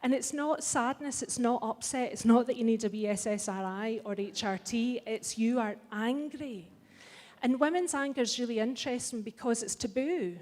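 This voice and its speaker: A woman's voice: a little high, normal speed, a little mellow. A middle-aged Scottish woman giving a blunt, wry and impassioned speech to a live audience. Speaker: festival speaker. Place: large hall. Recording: long hall reverb.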